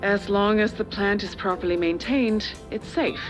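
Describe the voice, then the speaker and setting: A woman's voice: mid-range voice, over music, natural voice. A middle-aged woman playing a calculating, slightly condescending corporate official and parent in a dramatic video game. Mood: measured, evasive. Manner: measured, persuasive, slightly condescending. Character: older female corporate official and parent, calculating, persuasive, patronizing.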